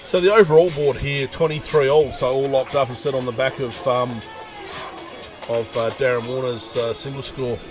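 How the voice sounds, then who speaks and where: A man giving relaxed, chatty lawn bowls commentary, full of fillers and asides. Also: mid-range voice, normal speed, over music. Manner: easygoing, chatty, many fillers. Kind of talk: casual sports commentary.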